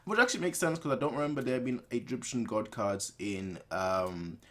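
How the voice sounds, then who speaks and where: A man's voice: mid-range voice, moderate pace, sharp tone. A man casually commenting as a reaction video host, repeating himself as he works out his thoughts.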